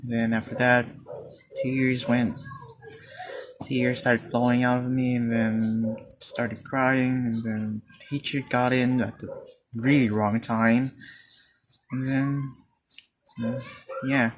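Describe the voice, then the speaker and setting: A teenage Filipino man telling a personal story in a hesitant, halting way, with many fillers and restarts. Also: mid-range voice, little pitch movement, a little hiss or hum, rough recording. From Philippines. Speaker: student. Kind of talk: personal confession monologue.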